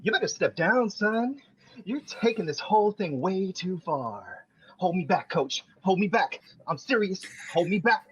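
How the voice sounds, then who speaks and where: A man's voice: sits high, big pitch swings, unhurried, slightly rough, heavily acted. A man reading the part of a vain, overeager young man desperate to fit in, in a sitcom table read, pleading and showy. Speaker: podcast host reading a part. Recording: dull lo-fi recording.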